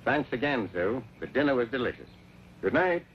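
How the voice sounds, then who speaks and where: A man plays a courteous villain in an old radio western. His mid-pitched voice is formal and polite but moves with lively inflection, on a dull vintage recording. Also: moderate pace, slight background noise, close to an everyday voice. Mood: courteous farewell. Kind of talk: old-time radio acting.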